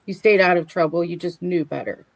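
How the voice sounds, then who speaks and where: A woman's voice: fairly low pitch, a little fast, poor audio. A middle-aged woman co-hosting a livestream in casual conversation. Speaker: livestream co-host. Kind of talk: casual chat.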